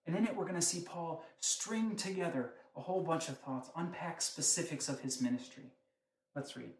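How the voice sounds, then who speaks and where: A man's voice: a little high, moderate pace, a little hiss or hum. A man preaching a sermon, teaching in a conversational way with frequent rhetorical questions. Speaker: pastor. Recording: echoey room.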